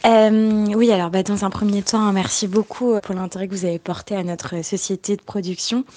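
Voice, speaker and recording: A woman's voice: medium pitch, steady tone, brisk, mellow, natural voice. A woman playing an evasive, falsely polite film producer in a podcast drama, hesitating through her courtesies. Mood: awkwardly polite. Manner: hesitant, filler-laden politeness. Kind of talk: fiction podcast acting.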